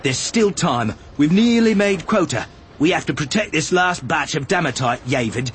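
A male reader acts out a stubborn young man in an audiobook drama. The voice is raised high above a narrating register, angry and defiant, with clear noise in the background. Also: lively intonation, normal speed, a bit bright. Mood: defiant, pleading. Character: angry, stubborn young man defending his father's work.

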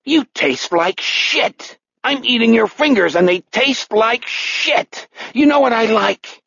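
A man voicing a vicious, crude old serial killer with a Chicago accent, sneering and taunting in a rough, strained voice. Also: very high-pitched, expressive, slow pace, pushed and bright, no room sound, highs cut off, voice pushed up, exaggerated voice. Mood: gleeful relish.

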